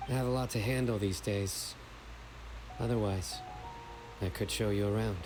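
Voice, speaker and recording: A man voicing a reserved, gentle, slightly weary warrior in a video game, speaking in a subdued, laconic way. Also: fairly low pitch, fairly even intonation, normal speed, music in the background, close to an everyday voice. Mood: quiet, content.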